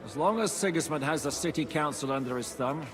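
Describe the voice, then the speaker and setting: An actor playing a plain-spoken, cynical military commander in a medieval video game, blunt and sardonic. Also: male voice, on the high side, a little fast, over music, close to an everyday voice. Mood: sardonic, frank. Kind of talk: dramatic video game voice acting. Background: crowd.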